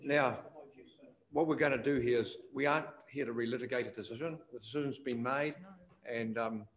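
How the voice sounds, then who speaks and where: A man with a New Zealand accent chairing a council committee meeting, brisk and procedural. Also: mid-range voice, steady tone, poor audio.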